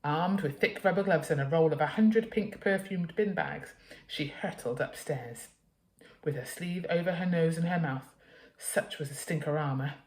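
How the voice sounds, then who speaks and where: A woman's voice: sits low, normal speed, darkish tone. A woman with a British accent reading a children's story expressively, as a teacher would to pupils. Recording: echoey room.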